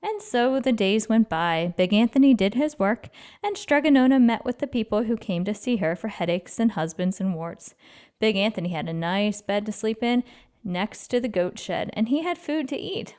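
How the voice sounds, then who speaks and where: Lady doing an expressive kids picture book read-aloud, medium pitch, storyteller emphasis. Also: normal speed, clear, resonant tone. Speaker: children's storybook reader.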